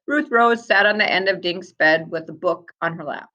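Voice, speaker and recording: A woman reading a storybook aloud like a teacher, with deliberate, expressive delivery and asides to her young listeners. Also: on the low side, dull audio.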